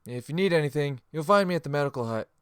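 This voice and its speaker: A man's voice: a little high, expressive, quick pace, clean tone, dry recording, studio quality, natural voice. A man playing a frank, easygoing guild medic in a fantasy game audiobook. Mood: breezy, joking.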